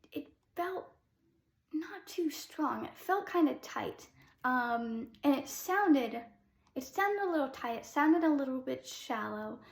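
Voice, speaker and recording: A young adult woman, a classical soprano, giving a relaxed vocal lesson in a chatty way with many ums. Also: sits high, roomy sound.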